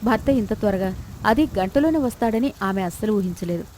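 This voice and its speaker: A woman's voice: mid-range voice, animated, a little fast, a little mellow, slight background noise. A woman storyteller reading a scripted moral tale as narration.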